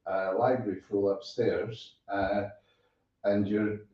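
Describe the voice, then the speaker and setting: A man's voice: fairly low pitch, steady tone, slow pace. A man hosting a casual interview, informal and hesitant in his phrasing. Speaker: interviewer and show host. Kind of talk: casual chat. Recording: very echoey, distant, dull sound.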